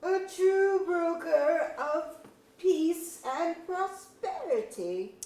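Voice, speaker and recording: Woman playing a very high-voiced, prim courtier. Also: animated, extremely slow, darkish tone, heavily acted. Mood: courtly. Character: prim, easily offended gentleman courtier. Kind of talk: staged reading of mock-Shakespearean verse drama. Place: museum auditorium. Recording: echoey auditorium, distant mic.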